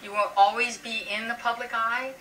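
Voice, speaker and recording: A woman's voice: a little high, little pitch movement, moderate pace, a bit bright, slight background noise. A woman psychic giving a reading, earnest and emphatic as she reassures. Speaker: psychic reader. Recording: voice from a distance, music behind.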